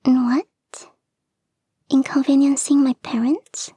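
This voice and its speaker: A woman voicing a clingy young kitsune fox girl in an intimate ASMR roleplay, soft and breathy, often whispering, coaxing and sweetly insistent, close to the microphone. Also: sits high, little pitch movement, slow pace, no echo. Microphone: close, dry microphone.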